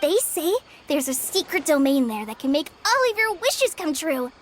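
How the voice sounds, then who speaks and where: A woman's voice: sits high, big pitch swings, normal speed, a little hiss or hum. A woman voicing an earnest, shy teenage girl forest ranger in a video game, speaking politely and a little hesitantly. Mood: earnest, unsure. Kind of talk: video game cutscene acting.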